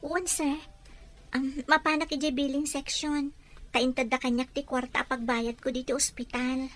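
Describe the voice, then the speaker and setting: A woman's voice: high-pitched, little pitch movement, moderate pace, soft background music, lightly acted. A woman with a Filipino accent playing a polite, worried young housemaid in an audio drama. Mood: respectful.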